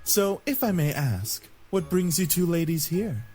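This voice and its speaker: Flowery flirty prince charming servant for a visual novel, swoopy voice, music behind. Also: male voice, on the high side, very animated, unhurried, warm tone, slightly rough, full character voice. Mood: gallant, charming.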